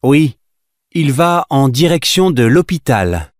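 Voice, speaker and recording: A man's voice: a little high, sing-song, slow pace, no echo, studio quality. A man reading scripted everyday dialogue lines for a language listening test.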